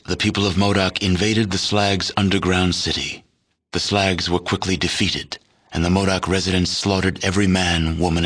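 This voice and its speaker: An actor playing a grave, world-weary storyteller narrating a post-apocalyptic video game in a measured, solemn, deadpan way. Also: male voice, sits low, breathy edge, heavily acted.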